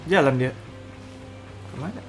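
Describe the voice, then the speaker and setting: A man's voice: medium pitch, expressive, normal speed, over music, rough recording. A man from Indonesia giving casual, slangy commentary as a game streamer, often repeating himself.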